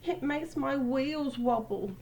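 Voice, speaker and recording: A woman's voice: high voice, takes it slowly, a little mellow, pitched above the performer's own voice. Woman voicing a loyal, emphatic storybook steam engine. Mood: alarmed, earnest. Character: hardworking, loyal, kindly male steam engine.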